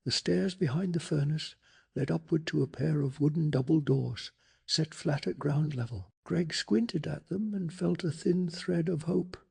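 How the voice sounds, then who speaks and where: A man softly narrating a bedtime story in an ASMR style, with a calm, hushed, breathy delivery and some gravel in the voice. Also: on the high side. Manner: slow, calming, hushed delivery. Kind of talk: soft-spoken ASMR storytelling.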